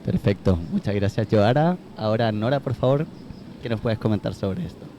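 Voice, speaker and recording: A man moderating a live conference panel, courteous and brisk as he hands the microphone from speaker to speaker. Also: on the low side, animated, mellow, slight background noise. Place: conference venue.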